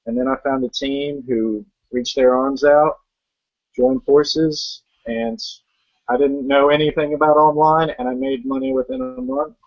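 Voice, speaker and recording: A man's voice: medium pitch, measured pace, no room sound. A young man giving an earnest motivational talk and driving his points home with rhetorical questions. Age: young adult. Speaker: network marketing guest. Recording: dull muffled sound.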